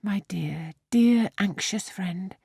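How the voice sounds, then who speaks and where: Audiobook role: bright young lady, voiced by a female narrator, affectionate, thinking aloud, dry sound. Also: medium pitch, takes it slowly, creaky, husky, no room sound, voice pushed up, heavily acted. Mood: fond, amused. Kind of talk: audiobook character soliloquy.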